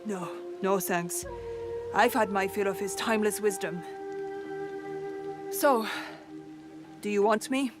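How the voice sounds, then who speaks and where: A woman's voice: medium pitch, slow, long pauses, close to an everyday voice. Woman as a proud wry noble warrior mentor in a game, mid voice, music playing behind. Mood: wry, confident. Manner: wry, self-assured. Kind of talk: dramatic video game dialogue.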